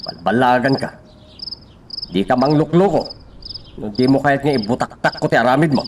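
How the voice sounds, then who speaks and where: A man playing defensive male co-worker, insistent, mid-pitched, radio drama, off-mic, noisy background. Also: animated, moderate pace, distant mic, lightly acted. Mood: confronting. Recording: off-mic, noisy with insect-like sounds.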